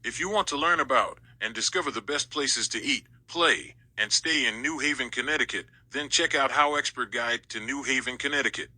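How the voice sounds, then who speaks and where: A man delivers a scripted audiobook promo in a very bright, forceful voice at medium pitch. The sound is dry and close. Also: a little fast, hardly any pauses, no echo, low-quality recording. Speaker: audiobook narrator auditioning.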